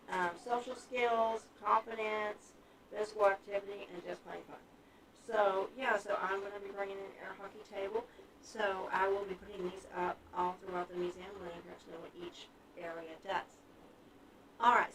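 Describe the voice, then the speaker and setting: A woman in her fifties from Texas talks casually on a livestream, working through her points in an upbeat, chatty way with frequent ums. She sits back from the microphone, so her voice is a bit distant. A clear crowd murmur carries on behind her. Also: medium pitch. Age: 50s. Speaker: children's museum staff member. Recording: distant mic with crowd noise.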